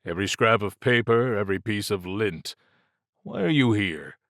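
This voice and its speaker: A man's voice: on the low side, expressive, moderate pace, fairly bright tone, no room sound, clean studio sound. A man voicing a weary, stubborn federal agent in an audiobook, terse, pointed and grudging. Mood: insistent, suspicious. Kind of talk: audiobook character dialogue.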